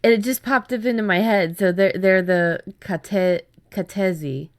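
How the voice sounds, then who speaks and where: A woman's voice: medium pitch, measured pace, no echo, studio quality. A woman co-hosting a casual writing workshop chat, speaking informally and often breaking off and restarting her thoughts. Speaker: podcast co-host and writer.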